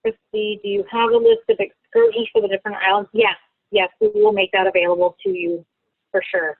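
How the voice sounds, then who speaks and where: A woman's voice: mid-range voice, sing-song, fairly quick, vocal fry, slightly rough, no echo. A woman from Ontario hosting a travel webinar, chatty and reassuring on a video call. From Ontario, Canada. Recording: tinny phone-like call audio.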